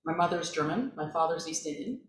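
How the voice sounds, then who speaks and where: A lowish-voiced woman, a native Swahili speaker raised in Canada, speaks wryly and matter-of-factly as a panelist. Her voice is distant and echoey. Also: animated, fairly quick, crowd noise. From born in Africa, grew up in Canada.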